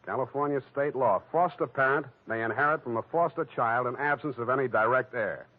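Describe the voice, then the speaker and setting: An actor playing a cynical, wisecracking private detective with a clipped, deadpan delivery in 1940s radio style. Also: male voice, mid-range voice, fairly quick, lightly acted. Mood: cool, sardonic. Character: hard-boiled wisecracking male private detective, cool and cynical. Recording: muffled tinny old radio broadcast.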